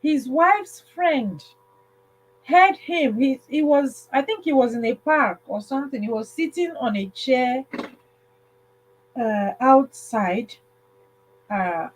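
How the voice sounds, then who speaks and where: A woman hosting a livestream, casually telling a story in a halting way, repeating phrases and checking in with listeners. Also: high voice, animated, a little hiss or hum, rough recording. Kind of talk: casual spoken storytelling with advice.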